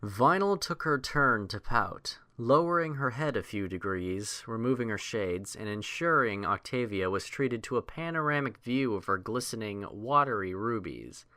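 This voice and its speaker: A man narrating a scripted story as an audiobook reader, in measured literary storytelling. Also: fairly low pitch, lively intonation, pushed and bright.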